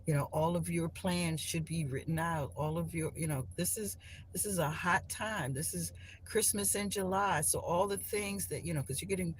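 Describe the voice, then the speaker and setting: A woman's voice: on the low side, normal speed. A woman hosting a live stream from her phone at home, talking casually and loosely about spiritual lessons, with many restarts and fillers. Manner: rambling, many restarts and "you know" fillers. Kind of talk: casual spiritual talk to viewers. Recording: clear background noise.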